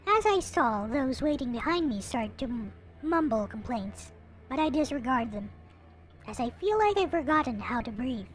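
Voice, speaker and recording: Female narrator, high and animated voice, dramatic visual novel read-aloud with expressive delivery, music underneath. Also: moderate pace, rough recording. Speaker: video creator reading a visual novel aloud. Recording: music playing behind the voice. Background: visual novel soundtrack music.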